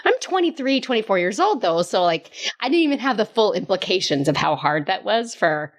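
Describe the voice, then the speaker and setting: A woman in her late forties talking casually as a coaching podcast host, telling stories in an animated, emphatic way and repeating phrases for stress. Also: medium pitch, a little fast. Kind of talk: casual conversational storytelling.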